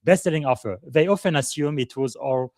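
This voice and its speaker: A man's voice: on the high side, brisk, a little mellow, no room sound, studio quality. A man hosting a live leadership podcast, giving long formal introductions broken up by frequent "uh" fillers. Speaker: podcast host and leadership coach.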